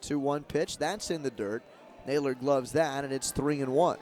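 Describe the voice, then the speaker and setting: A man doing live radio play-by-play of a baseball game, describing the action in short, clipped, measured phrases. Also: medium pitch, a little mellow. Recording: clear outdoor ballpark noise behind.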